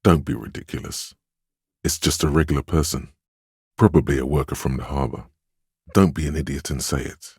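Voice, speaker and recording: An actor voicing a stern, steadfast inner voice of willpower in a video game, measured and dryly sardonic as he admonishes. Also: extremely low pitch, steady tone, a little mellow, husky, no room sound, clean studio sound, full character voice. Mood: firm, scolding. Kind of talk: scripted second-person game narration, inner voice.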